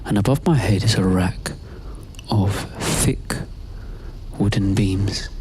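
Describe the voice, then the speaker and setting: A man giving a slow, scripted first-person spoken-word narration in a hushed, deliberate way, pausing mid-phrase. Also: fairly low pitch, noisy background. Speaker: performer of own monologue. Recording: loud music over the voice. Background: loud music.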